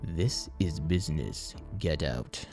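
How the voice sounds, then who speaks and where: A man's voice: rumbling low, lively intonation, darkish tone, soft background music, pitched below the performer's own voice, full character voice. A man voicing a stern, solemn, patriotic cartoon cat hero in an absurd storybook reading. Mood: menacing. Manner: slow, solemn, melodramatic delivery. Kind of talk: absurd fan fiction audiobook reading.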